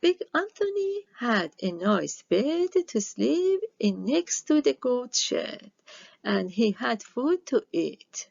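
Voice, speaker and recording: Slow, expressive woman reading a storybook. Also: a little high, creaky, dry recording, highs cut off. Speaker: storybook reader. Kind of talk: expressive read-aloud of a children's story.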